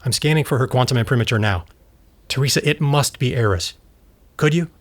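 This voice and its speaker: A man's voice: medium pitch, brisk, lightly acted. An actor playing an earnest male scientist in an audio drama, speaking in a precise, explanatory way. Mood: grave, urgent. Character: earnest male scientist, husband absorbed in his work.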